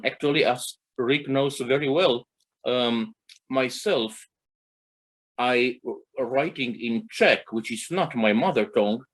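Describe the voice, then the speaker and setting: A man whose first language is Romanian, co-hosting a literary interview and pausing often to search for words. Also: medium pitch, low-quality recording. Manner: halting, searching for words with many fillers. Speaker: co-host.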